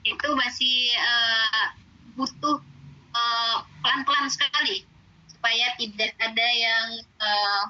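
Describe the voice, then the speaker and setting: A woman's voice: fairly high pitch, steady tone, slow, sharp tone, lo-fi sound. A woman Arabic teacher, patiently explaining in an online class with many fillers.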